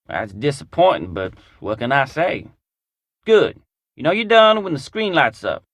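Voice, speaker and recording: An actor playing a folksy, drawling mechanic with a Southern US accent in a video game. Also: male voice, medium pitch, wildly swooping pitch, unhurried, a bit bright, no room sound, heavily acted. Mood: disappointed. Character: folksy drawling male mechanic, gruff but friendly. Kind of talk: video game character dialogue.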